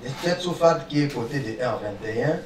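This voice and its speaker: A man's voice: medium pitch, lively intonation, fairly quick. Echoey, distant man presenting courtroom evidence. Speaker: military prosecutor in court. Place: large indoor courtroom. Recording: echoey courtroom, distant mic, faint noise.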